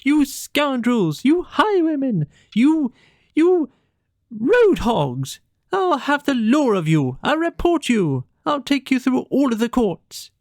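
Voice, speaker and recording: A man voicing a fiery, indignant water rat for a children's storybook, shouting in declamatory outrage. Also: very high-pitched, big pitch swings, slow pace, no room sound, studio quality, pitched above the performer's own voice, exaggerated voice. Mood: furious.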